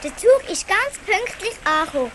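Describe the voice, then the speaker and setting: A woman's voice: very high-pitched, very animated, normal speed. A woman playing a cheeky, confident young girl with a Swiss German accent in an audio drama. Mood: pert, chatty. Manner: pert, chatty, forthright. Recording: noisy station ambience, old cassette.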